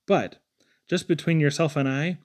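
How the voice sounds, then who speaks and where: A man's voice: fairly high pitch, fairly even intonation, normal speed. A man giving a playful, theatrical reading of children's poetry. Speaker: poetry reader.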